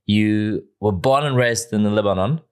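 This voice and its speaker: A man hosting a podcast, chatty and quick with interjections, who laughs easily with his guest. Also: fairly low pitch, clean tone. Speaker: podcast host. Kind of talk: casual interview chat.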